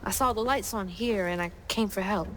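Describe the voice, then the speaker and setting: An actress playing a frightened, headstrong young granddaughter in a video game, with an American Southern accent and an urgent, emotional delivery. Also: female voice, medium pitch, moderate pace, slight background noise, lightly acted, low-quality recording. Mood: distressed, pleading. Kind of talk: video game cutscene acting. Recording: loud music behind the voice.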